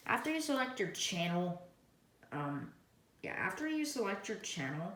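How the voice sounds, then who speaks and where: A woman's voice: mid-range voice, very animated, normal speed. A woman giving a casual, rambling hands-on gadget demonstration, often reading menu items aloud one by one. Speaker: product reviewer. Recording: echoey room with loud noise and beeps.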